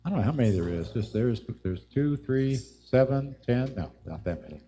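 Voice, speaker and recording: A man's voice: medium pitch, animated, moderate pace, dark tone. A man casually hosting a live music show, chatting and putting questions to musicians. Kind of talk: casual stage hosting and interview. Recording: live room with clear crowd chatter.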